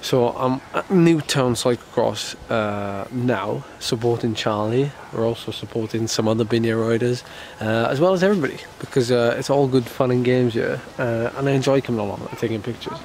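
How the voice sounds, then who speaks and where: A man's voice: mid-range voice, moderate pace, hardly any pauses, a little mellow, a little hiss or hum. A man from the United Kingdom, a professional cyclist, talking casually to camera while ill and slightly congested, rambling with lots of fillers and restarts. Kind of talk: casual vlog talk to camera.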